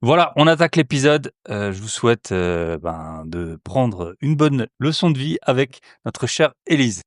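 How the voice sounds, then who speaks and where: A man's voice: mid-range voice, very animated, measured pace, dry recording, clean studio sound. A man hosting an investment podcast in French, reading a promo and then welcoming a guest, with many filler hesitations. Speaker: podcast host. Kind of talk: podcast ad read and interview intro.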